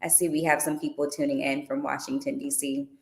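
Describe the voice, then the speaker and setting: A low-pitched woman talks to her viewers in a chatty, upbeat way, full of fillers. Her voice rings in an echoey room, picked up by a livestream mic. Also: little pitch movement, a little fast, creaky, a little mellow. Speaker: tour organizer and boutique owner. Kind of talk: casual livestream Q and A.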